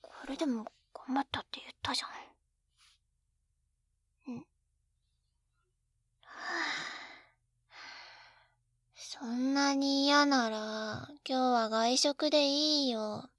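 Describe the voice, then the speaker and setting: A woman's voice: high-pitched, steady tone, takes it slowly, long pauses, fairly bright tone, dry recording, clean studio sound. A woman playing an eager, clumsy, sweetly pouty young wife in an intimate binaural roleplay, with a cutesy, flustered delivery. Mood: defensive, pouty, then sulky, hurt. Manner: cutesy, flustered, pouting, hesitant little gasps. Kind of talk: Japanese situation-voice roleplay, intimate ASMR audio drama. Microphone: close binaural 3Dio microphone.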